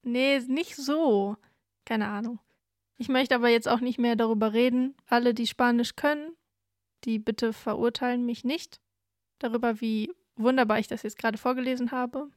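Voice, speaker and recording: A woman's voice: fairly high pitch, fairly even intonation, moderate pace, no room sound, clean studio sound. A young woman born in Peru co-hosting a casual podcast, chatting and sharing prepared facts in a self-deprecating way. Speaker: podcast co-host. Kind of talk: casual chat with prepared fact segments.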